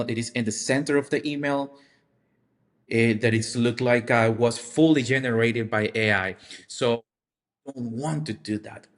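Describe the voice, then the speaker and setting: A man co-hosting a casual podcast, speaking haltingly with repeated words and non-native phrasing. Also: mid-range voice. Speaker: podcast co-host.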